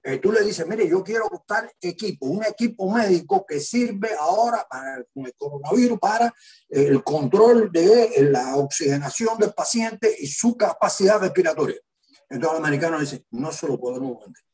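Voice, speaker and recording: Animated older Cuban man. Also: high-pitched, moderate pace, low-quality recording. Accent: Cuban Spanish. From Cuba. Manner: lively, emphatic, acts out quotes in varied voices. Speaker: guest analyst. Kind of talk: animated interview talk.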